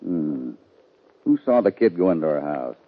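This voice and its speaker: A man playing a calm, shrewd cowboy hero in 1950s radio western style, measured and authoritative. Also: very deep voice, heavily acted. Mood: firm, unruffled. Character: calm, shrewd, fair-minded Old West cowboy hero. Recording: dull vintage 1950 radio recording.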